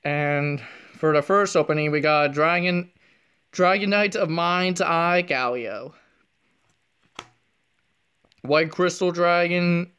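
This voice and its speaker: A man quietly narrating a trading card pack opening, half whispering as he reads card names and comments on them, recorded indoors at a table on a phone camera. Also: fairly high pitch, smooth. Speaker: card unboxing youtuber.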